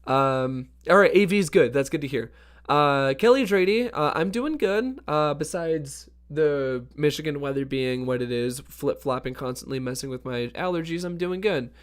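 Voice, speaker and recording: A man hosting a birthday livestream in a casual, upbeat way, greeting chat viewers by name, his voice hoarse from allergies. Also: fairly high pitch, normal speed. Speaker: livestream host.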